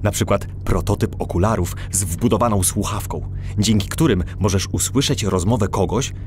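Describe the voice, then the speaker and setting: An actor playing a helpful, proud but cautious animal inventor in a children's audio drama. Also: male voice, lowish voice, normal speed, background noise, lightly acted. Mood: earnest.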